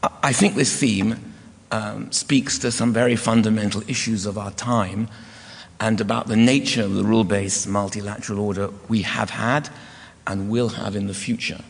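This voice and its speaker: Hoarse man lecturing in a reverberant hall. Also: fairly low pitch, fairly even intonation. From United Kingdom. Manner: measured, formal delivery. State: hoarse, croaky voice. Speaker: guest lecturer. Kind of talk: academic lecture.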